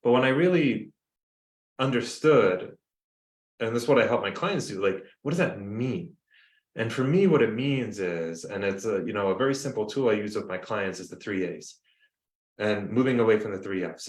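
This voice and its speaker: A man's voice: on the low side, reverberant. A man with a New Jersey accent, talking earnestly as a podcast guest on a video call. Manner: animated, earnest, storytelling. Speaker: guest, coach. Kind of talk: casual podcast conversation.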